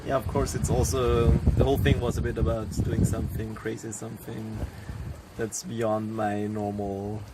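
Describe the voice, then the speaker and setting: A young man from Austria, his first tongue, talks out on the deck of a yacht at sea, pausing and restarting as he searches for words. His voice has a gravelly rasp at mid pitch. Loud wind and engine noise run under everything. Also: flat delivery. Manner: thoughtful, hesitant, many fillers and restarts. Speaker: crew member on a sailing yacht. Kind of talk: casual interview chat.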